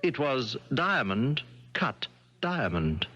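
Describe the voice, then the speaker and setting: An actor playing a deferential, flustered secretary who hesitates and stammers, in a 1970s radio drama. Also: male voice, mid-range voice, big pitch swings, takes it slowly, very noisy. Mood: resigned. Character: deferential, flustered male secretary to a rich man. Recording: dull vintage radio broadcast recording, music behind.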